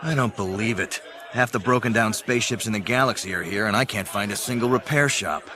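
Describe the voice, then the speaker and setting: An actor playing a gruff, exasperated space smuggler pilot in an audio drama, wry and impatient. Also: male voice, on the low side, a little fast, a crowd in the background, close to an everyday voice. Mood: wry, practical. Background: music.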